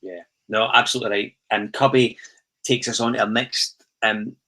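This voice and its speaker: Scottish podcast host, lowish voice. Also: male voice, steady tone, normal speed, sharp tone, poor audio. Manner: steers the talk, reads out listener comments, frequent "um". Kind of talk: casual football chat.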